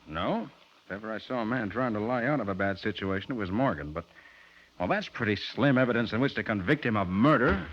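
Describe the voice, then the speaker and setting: An actor playing a shrewd, assured private detective, crisp and questioning, in a 1940s radio crime drama. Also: male voice, lowish voice, expressive, fairly quick, a bit bright. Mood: skeptical. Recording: muffled, noisy old-time radio recording.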